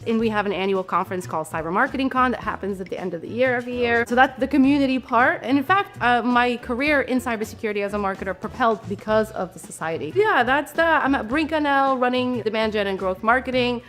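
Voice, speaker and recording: A woman's voice: on the high side, fast talker, hardly any pauses, a bit bright. A middle-aged woman from Morocco, chatting as a guest in a casual conversational interview with an enthusiastic manner.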